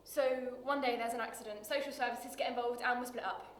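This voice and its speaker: A woman acting a dramatic screen monologue as a bitter, wounded working-class woman, confessional and halting, with weighted pauses. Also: on the high side, fairly even intonation, natural voice. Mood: pained. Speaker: actor performing a monologue. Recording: roomy echo with light background noise.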